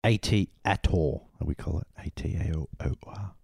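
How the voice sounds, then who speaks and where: A man co-hosting a casual film podcast, tossing in quick jokey asides and laughing a lot. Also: very deep voice, vocal fry, darkish tone, breathy edge, dry recording, studio quality. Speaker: podcast co-host.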